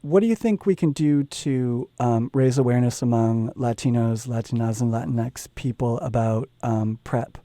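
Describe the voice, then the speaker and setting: Male interviewer, very dark and mellow tone, plain measured questions, dry sound. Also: mid-range voice, no echo. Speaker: interviewer, outreach specialist. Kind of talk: reading prepared interview questions.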